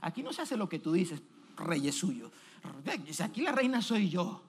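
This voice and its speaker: A man's voice: high-pitched, very animated, moderate pace, slight background noise, pitched below the performer's own voice. A male preacher voicing a haughty, scheming queen who dismisses the king. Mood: dismissive. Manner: dismissive, commanding.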